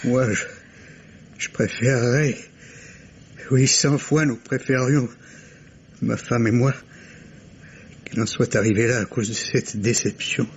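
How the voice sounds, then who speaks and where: An actor playing a courteous, grieving middle-aged father, measured and formal, in the manner of 1950s French radio drama. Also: medium pitch, fairly even intonation. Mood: restrained grief. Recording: dull lo-fi old radio, noisy.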